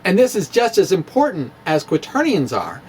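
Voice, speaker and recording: A man's voice: high-pitched, wildly swooping pitch, normal speed, a little mellow, a little hiss or hum. A man giving an informal physics talk, chatty and self-amused, with frequent fillers and little chuckles at his own jokes. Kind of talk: informal physics lecture at a chalkboard.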